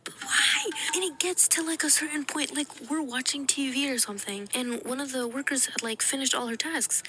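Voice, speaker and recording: A young adult woman telling stories to camera in a casual, animated way, with frequent laughs and fillers. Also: sits high, a little fast, a little hiss or hum. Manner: animated and giggly, with many fillers. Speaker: vlogger. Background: background music.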